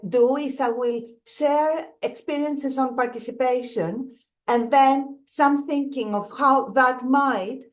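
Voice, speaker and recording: A woman's voice: on the high side, rough recording. A middle-aged woman with a Greek accent, giving an earnest, reflective presentation over slides and often restarting her phrases. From Greece. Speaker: guest speaker, museum director.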